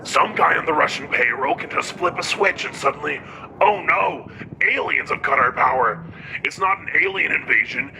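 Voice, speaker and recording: A man's voice: a little high, vocal fry, pushed and bright, slight background noise, heavily acted, rough recording. A man playing a paranoid conspiracy-theorist pundit in a radio news parody, ranting in an agitated, accusatory, emphatic way.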